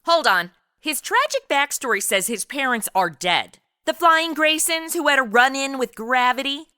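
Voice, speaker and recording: Woman as a bright, sassy young sidekick. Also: high-pitched, expressive, moderate pace. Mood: sarcastic. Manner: snarky, blunt, complaining. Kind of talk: comedy radio play acting.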